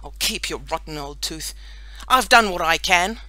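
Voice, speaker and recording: A woman's voice: on the low side, lively intonation, normal speed, pushed and bright. A woman voicing a confident, cheeky, scheming young farm boy in a children's audiobook, assertive and bossy. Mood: exasperated. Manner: assertive, plain-spoken bossiness.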